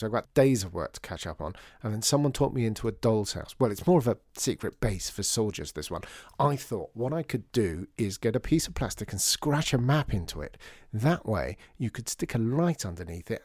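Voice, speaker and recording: A man's voice: mid-range voice, a little mellow, no echo, studio quality. A man voicing a cheerful, chatty father who crafts things and makes wry remarks.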